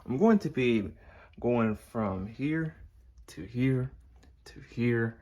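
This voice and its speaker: A man giving a casual, unscripted showcase narration in a halting, meandering, explanatory way. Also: mid-range voice, lively intonation, dark tone. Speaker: hobbyist video creator. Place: indoors at home beside a shelf of radios. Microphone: handheld phone behind the camera.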